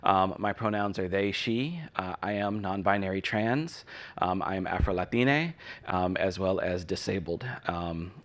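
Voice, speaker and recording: A low-voiced man moderates a panel discussion. He speaks hesitantly, leaning on frequent ums while reading prepared remarks. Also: measured pace. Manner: hesitant, many ums, reads prepared text.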